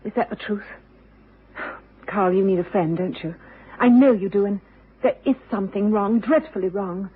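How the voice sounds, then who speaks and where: A woman's voice: medium pitch, normal speed, long pauses, husky, natural voice. An actress playing an earnest, tender, anxious young woman in a radio drama. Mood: anxious, pleading. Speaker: supporting actress. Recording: tinny old radio recording.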